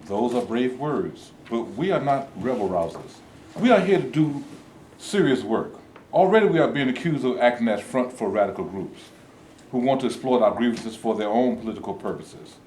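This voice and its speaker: A man's voice: medium pitch, expressive, normal speed, darkish tone, lightly acted. Man playing a cautious movement leader, echoey room. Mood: firm, earnest. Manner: formal, earnest, oratorical. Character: cautious male civil rights leader, principled, measured. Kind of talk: staged play reading. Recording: echoey room with background noise.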